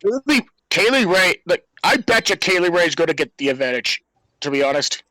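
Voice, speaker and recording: A man's voice: high voice, sing-song, a little fast, bright, forceful tone, no echo, poor audio. A man co-hosting a podcast in a casual chat about wrestling. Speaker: podcast co-host.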